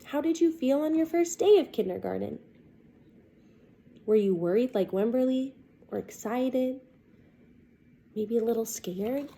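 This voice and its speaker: Slow, mellow woman reading to kids. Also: a little high, lively intonation, vocal fry. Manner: slow, deliberate storytelling for young children. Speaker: teacher reading a picture book aloud. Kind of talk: expressive children's read-aloud with questions to listeners.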